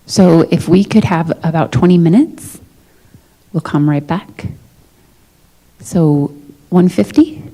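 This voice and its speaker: A woman speaking as a management negotiator in a formal but conversational meeting, explaining things in a measured, diplomatic way. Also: lowish voice, mellow, rough recording. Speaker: negotiator for a school district's management. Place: meeting room, live-streamed negotiation session.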